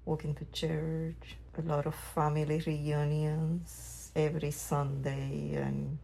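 A middle-aged woman from Puerto Rico, a mother, fondly recalling her childhood in an unhurried, wistful way. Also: low-pitched, little pitch movement, creaky, warm tone, breathy edge. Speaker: interviewee, mother. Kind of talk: reflective personal storytelling.

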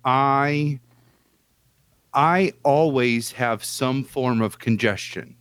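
A man's voice: mid-range voice, very slow, a bit bright, smooth. A man co-hosting a podcast in casual chat, emphatic and loose, swearing casually and filling with "like" and "you know". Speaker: podcast co-host.

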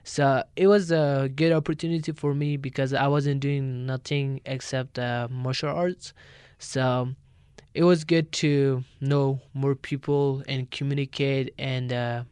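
Halting teenage man. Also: medium pitch, fairly even intonation, a little mellow, clean tone, no room sound. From Afghanistan. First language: not English. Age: teens. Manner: halting, many fillers, simple phrasing. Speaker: youth organizer guest. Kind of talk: radio interview.

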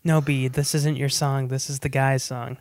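A podcast co-host in a casual chat, playful and quick to laugh. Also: medium pitch, little pitch movement, no echo, clean studio sound.

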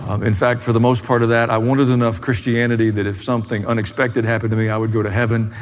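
A man's voice: mid-range voice, little pitch movement, quick pace, slight background noise. Male preacher in a reverberant hall. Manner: emphatic, plain-spoken, repeats short parallel phrases for stress. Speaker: pastor, preacher. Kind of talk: sermon. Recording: long reverberant hall, faint music.

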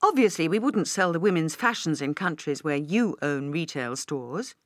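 A woman's voice: low-pitched, lively intonation, normal speed, no echo. An eager younger executive, a magnate's son acted out by a woman reading a novel. He is insistent and persuasive. The recording is very dry. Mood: reassuring.

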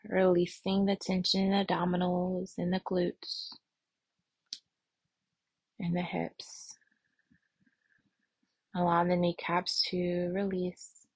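A woman meditation instructor speaks in a soft, breathy voice with a gravelly creak at the edges. She moves very slowly and soothingly through guidance and prayer, leaving long pauses, in a dry, close recording. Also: fairly low pitch, monotone, no room sound.

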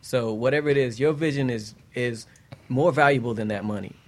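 A man's voice: medium pitch, a little fast. A man in a casual panel discussion, speaking emphatically and conversationally and often checking that listeners follow.

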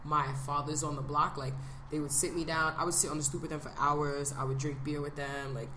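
Bright-voiced young woman in a roomy space. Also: low voice, brisk. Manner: animated, profane, drawls when musing. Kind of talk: casual chat. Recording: echoey room with background noise.

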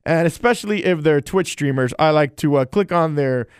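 A man's voice: a little high, normal speed, clear, resonant tone, dry recording, clean studio sound. A man hosting a casual solo podcast and chatting in a loose, rambling, friendly way. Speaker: podcast host and streamer.

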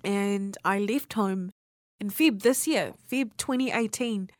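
A woman's voice: mid-range voice, slow, no echo. 19 y/o kiwi-woman hosting her student radio show, candid and chatty, swears casually, dry close sound. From New Zealand. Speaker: radio host. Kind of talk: personal monologue for student radio.

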